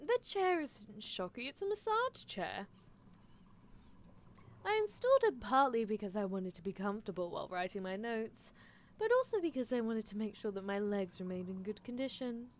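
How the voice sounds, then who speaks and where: A woman's voice: medium pitch, big pitch swings, normal speed, lightly acted. A woman playing a playful, possessive young female mad scientist in an improvised ASMR roleplay, teasing her captive with clinical, mock-polite menace. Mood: matter-of-fact.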